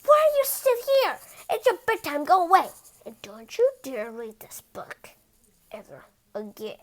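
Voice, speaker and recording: A woman's voice: high voice, wildly swooping pitch, a little slow, heavily acted. A woman voicing an exasperated, bossy storybook character in a fussy, scolding, impatient manner.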